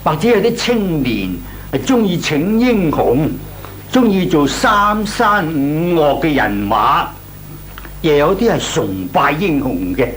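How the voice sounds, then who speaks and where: An actor playing a kindly, deliberate older gentleman with a Cantonese accent in old Hong Kong radio drama style. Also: on the high side, sing-song, full character voice. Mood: measured, advising. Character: kindly, measured old gentleman, advice-giving elder. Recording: old radio recording, faint noise.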